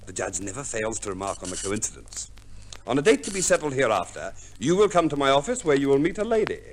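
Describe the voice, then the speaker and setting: A man acting a fussy solicitor from an old radio play. His phrasing is formal, unctuous and scrupulously precise, with small hesitant hums, and his pitch rises and falls in very animated sweeps. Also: quick pace, a little hiss or hum, natural voice. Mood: solemn, precise. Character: fussy, pompous English divorce lawyer, scrupulously proper.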